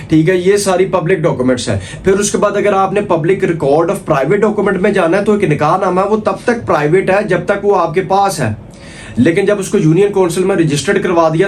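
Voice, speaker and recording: A man with a Pakistani accent giving an informal law lecture, explaining step by step with repetition, frequent check-in tags and English terms mixed in. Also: a little high. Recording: noticeable background noise.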